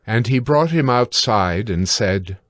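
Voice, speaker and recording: A man narrating scripture from a script in a measured, formal reading manner. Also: fairly low pitch, lively intonation, a bit bright, dry recording, clean studio sound.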